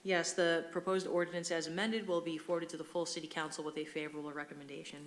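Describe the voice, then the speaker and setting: Matter-of-fact, lowish-voiced female meeting clerk. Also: level tone, quick pace, a little hiss or hum. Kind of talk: formal roll call and reading of motions. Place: indoor public council meeting room.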